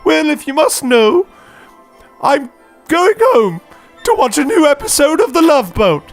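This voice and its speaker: A performer voicing a stern, exasperated witch professor in a comic audio story, with a deadpan manner. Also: high voice, a little slow, soft background music, full character voice. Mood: prim, impatient.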